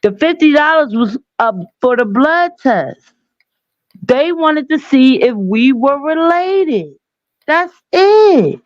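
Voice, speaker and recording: A woman's voice: high voice, very animated, slow, no echo, dull audio. A woman from Trinidad, a caller in a casual live chat, insistently repeating her point in a heated debate.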